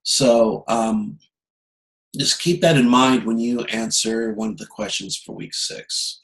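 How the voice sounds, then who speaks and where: A man giving an informal lecture as a course instructor over a video conference, explaining haltingly with frequent pauses and self-corrections. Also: medium pitch, steady tone, low-quality recording.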